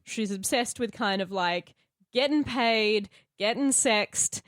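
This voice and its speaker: A woman's voice: a little high, slow, a bit bright, no room sound, clean studio sound. A woman with an Australian accent chatting casually on a podcast, in an informal, sweary way. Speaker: podcast co-host and actor.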